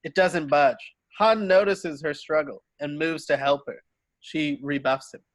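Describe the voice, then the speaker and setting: A man's voice: on the high side, steady tone, measured pace. A man reading stage directions as the narrator of an amateur screenplay table read.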